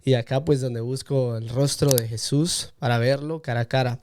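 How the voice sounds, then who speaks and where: A man's voice: medium pitch, steady tone, moderate pace, darkish tone, a little hiss or hum. A man hosting a podcast, interviewing a guest in a conversational style. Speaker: podcast host.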